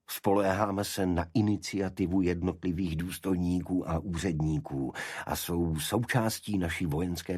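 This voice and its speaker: A man voicing a guarded, secretive senior government official in an audiobook, explaining things formally and evasively in a husky voice. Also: sits low, darkish tone, dry recording, studio quality.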